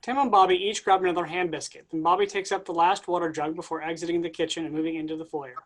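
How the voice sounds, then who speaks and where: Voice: mid-range voice, steady tone, fast talker, a bit bright. Stage directions read matter-of-factly.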